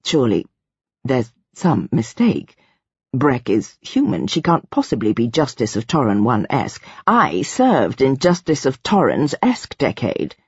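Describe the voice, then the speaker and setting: A woman voicing a deferential yet stubbornly loyal female retainer in an audiobook, quiet, tentative and slightly shaky. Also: very deep voice, lively intonation, slow, slightly rough, no room sound, lo-fi sound, voice pushed down, exaggerated voice.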